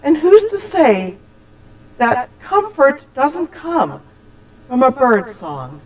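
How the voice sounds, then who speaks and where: A woman telling a scripted personal holiday story, with deliberate, expressive, dramatic phrasing. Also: high-pitched, poor audio. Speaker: church storyteller.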